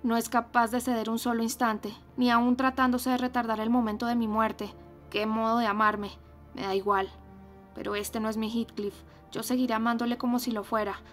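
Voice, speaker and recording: A woman reading an audiobook voices a feverish, dying young woman, speaking higher and louder in a pleading tone. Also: flat delivery, fairly quick, soft background music, lightly acted. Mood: reproachful. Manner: pleading, raised, higher than narration.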